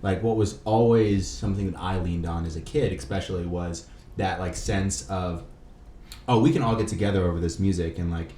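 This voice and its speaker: A man's voice: sits low, normal speed, warm tone. A man hosting a casual podcast interview, talking in slangy, filler-heavy chat with the odd swear word. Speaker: podcast host. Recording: roomy echo, clear background noise.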